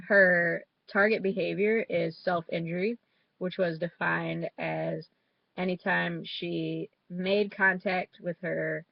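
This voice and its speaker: A woman's voice: on the low side, gravelly, no room sound, lo-fi sound. A young woman with a head cold and a stuffy nose, informally presenting a student project report with some hesitant fillers.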